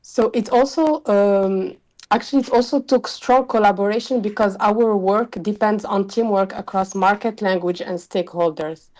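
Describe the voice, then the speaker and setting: A woman's voice: medium pitch, moderate pace, a little mellow, poor audio. A woman from Morocco with a Moroccan accent, answering interview questions as a communications executive.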